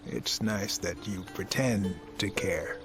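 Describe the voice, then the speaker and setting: An actor playing a weary, bitter middle-aged academic mentor with a gravelly, hesitant delivery in a video game. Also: male voice, low voice, takes it slowly. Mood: resigned, bitter. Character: weary, bitter, bookish male scholar and former mentor. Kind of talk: video game cutscene acting. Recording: music under the voice.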